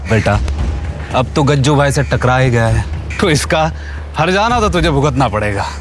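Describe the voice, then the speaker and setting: A man's voice: medium pitch, expressive, normal speed, faint music behind, natural voice. Man acting a menacing goon sidekick. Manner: menacing, taunting. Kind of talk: Hindi audio drama acting.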